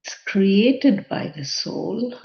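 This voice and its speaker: Slow, mellow woman guiding a meditation. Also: medium pitch, animated, gravelly. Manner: measured, contemplative, with long pauses. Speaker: meditation guide. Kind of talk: guided meditation, spiritual contemplation. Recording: dull, muffled lo-fi sound.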